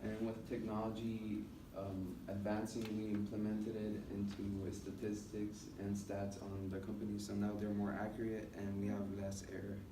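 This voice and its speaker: A young man from the United States giving an informal student presentation in a classroom, speaking haltingly with many filled pauses. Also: lowish voice, completely flat delivery. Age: young adult. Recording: roomy, noisy classroom recording from a distance.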